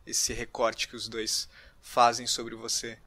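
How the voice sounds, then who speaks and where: A man's voice: medium pitch, completely flat delivery, takes it slowly, a crowd in the background. A Brazilian man hosting a casual podcast interview, informal and thinking aloud as he goes.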